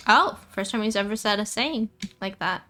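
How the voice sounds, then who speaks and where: A woman's voice: mid-range voice, normal speed, bright, forceful tone, soft background music. A woman live-streaming a game, chatting casually in a playful, giggly way on a close mic.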